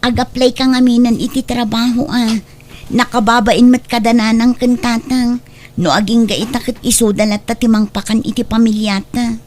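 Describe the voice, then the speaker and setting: A woman acts out a young wife and younger sister in a family audio drama. Her voice sits fairly high, with an Ilocano Filipino accent, hesitant but plain-spoken. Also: fairly even intonation, moderate pace, a little mellow, a little hiss or hum, close to an everyday voice. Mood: hurt, reproachful. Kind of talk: heartfelt audio drama acting.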